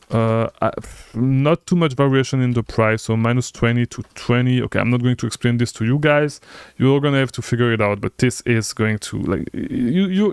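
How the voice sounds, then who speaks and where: French-speaking guy walking thru software on screen, kinda raspy low-end fry, pushy coaching tone, dead dry room. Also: no echo. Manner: repetitive, lots of "okay?" tags, energetic and insistent. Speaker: online seller coach. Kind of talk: screen-share software tutorial.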